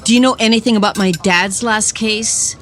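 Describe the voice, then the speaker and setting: An actress playing a tough, no-nonsense young rookie detective, blunt and challenging, in a noir audio drama. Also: female voice, medium pitch, steady tone, normal speed, a little hiss or hum, lightly acted. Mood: threatening, impatient.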